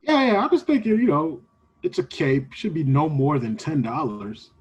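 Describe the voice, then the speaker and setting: A man's voice: a little high, huge pitch swings, normal speed, husky, heavily acted. A man playing an earnest, thrifty shop customer in an improvised comedy scene, speaking hesitantly. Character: earnest, thrifty male customer wanting an everyday cape.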